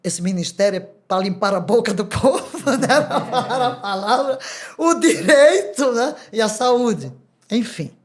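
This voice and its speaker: Older female pastor, Brazilian Portuguese accent, animated storyteller who breaks into laughter and acts out the voices in her stories. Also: on the high side, measured pace. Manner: animated, laughs often, acts out quoted dialogue. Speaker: pastor, podcast guest. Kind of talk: testimony storytelling.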